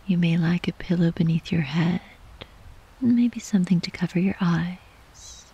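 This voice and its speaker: A woman guiding a sleep meditation in a soft, breathy, whispery voice, gently and soothingly, with long unhurried pauses. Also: on the low side, rough recording. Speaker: yoga nidra meditation guide.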